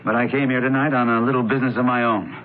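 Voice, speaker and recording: A man's voice: medium pitch, steady tone, very fast, close to an everyday voice. A man playing an irritable, evasive wealthy heir under suspicion in a radio mystery, guarded and testy. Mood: defensive. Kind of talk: radio mystery drama acting. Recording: muffled, faintly noisy old radio broadcast.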